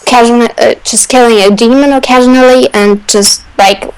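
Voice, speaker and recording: A woman's voice: fairly high pitch, fairly even intonation, normal speed, smooth, no room sound, poor audio. A woman talking casually and emphatically as a panelist in a fan discussion. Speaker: radio show panelist.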